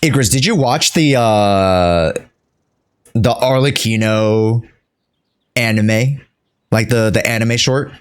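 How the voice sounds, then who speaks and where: A man's voice: fairly low pitch, slow, long pauses, clear, resonant tone. A man casually chatting as a game streamer over gameplay, slangy and teasing.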